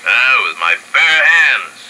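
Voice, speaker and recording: A gruff swaggering drifter. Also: male voice, medium pitch, big pitch swings, takes it slowly, sharp tone, exaggerated voice, poor audio. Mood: boastful. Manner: swaggering, boastful, defiant. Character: gruff menacing drifter, adult man. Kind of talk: vintage radio drama acting.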